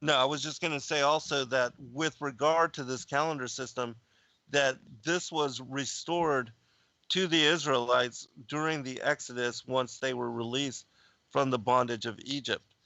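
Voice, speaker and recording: Male guest, gravelly and tense-toned, hesitant, lots of fillers. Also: mid-range voice, steady tone, sharp tone, no room sound. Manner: halting, many fillers, lists dates. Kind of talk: casual discussion of biblical calendar.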